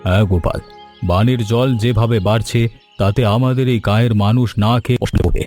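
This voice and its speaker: Man playing a steadfast, mellow village husband. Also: mid-range voice, a little fast, faint music behind, lightly acted. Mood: troubled. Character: kindly, steadfast village husband starting a food business. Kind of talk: animated moral story dubbing.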